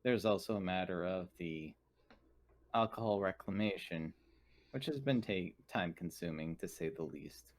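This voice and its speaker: A man voicing a precise, dryly sarcastic female colony crew member in a tabletop game, speaking in a formal, measured, deadpan way. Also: low-pitched. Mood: dry, matter-of-fact. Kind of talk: in-character tabletop roleplay.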